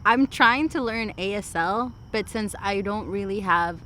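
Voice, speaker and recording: A young woman from California whose first language was Spanish, a podcast guest who says "like" constantly and giggles often, with a slightly squeaky voice. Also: a little slow, sharp tone, a little hiss or hum. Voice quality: self-described squeaky voice. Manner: chatty, uses like constantly, giggly. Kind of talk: casual chat.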